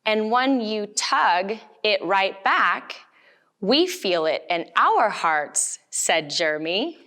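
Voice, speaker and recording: A woman reading a picture book aloud to young children, gently and with little questions to them as she goes. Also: mid-range voice, big pitch swings, takes it slowly. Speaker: storytime reader.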